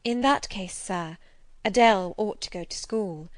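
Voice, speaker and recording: A woman's voice: medium pitch, unhurried, no room sound, lightly acted. A woman voicing a polite but firm young governess in a dramatised classic novel, respectful, composed and formal.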